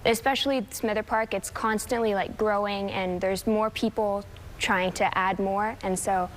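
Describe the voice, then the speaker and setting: A young woman in her late teens answering casually and haltingly as an interview guest, modest and a little giggly. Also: mid-range voice, steady tone. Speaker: high school student guest. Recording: faint background noise.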